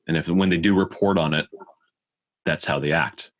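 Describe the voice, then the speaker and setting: Deep-voiced man, podcast co-host. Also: steady tone, moderate pace. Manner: rambling questions, repeats words. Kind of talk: casual chat.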